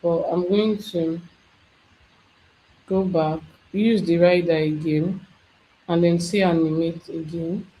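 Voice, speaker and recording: An instructor talking through a software tutorial step by step, thinking aloud as they work. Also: medium pitch, steady tone, slow, long pauses, rough recording. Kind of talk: screen-share software tutorial.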